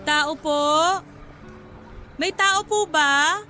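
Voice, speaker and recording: A woman's voice: high-pitched, extremely slow, pushed and bright, soft background music, heavily acted. An actress playing a playful, forward young flower seller in a Filipino radio drama, coy and giggly as she teases. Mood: friendly, asking favor.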